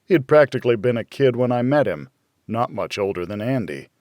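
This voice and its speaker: A man narrating a thriller audiobook in the first person, with a brooding hardboiled delivery and dramatic pauses. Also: medium pitch, animated, a little mellow, no echo, studio quality.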